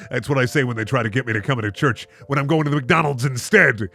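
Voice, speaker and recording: An expressive man hosting a reading of bad fan fiction, with sardonic commentary in between. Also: medium pitch, brisk, vocal fry, soft background music. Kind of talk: dramatic reading with mocking commentary.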